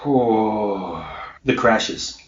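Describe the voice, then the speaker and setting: A man's voice: lowish voice, extremely slow. A middle-aged man giving emphatic, conversational interview answers as a coach, often repeating himself to drive points home. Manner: emphatic, repetitive, punctuates points with "okay". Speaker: interviewee, self-employed mindset coach. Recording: roomy, distant, muffled, faint background noise.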